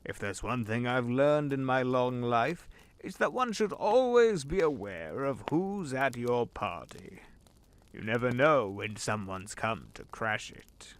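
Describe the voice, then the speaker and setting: A man's voice: mid-range voice, animated, takes it slowly. A man playing an urbane, sly old devil in an audio drama, wordy and courteous, savoring his phrases. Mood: genial, sly. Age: older.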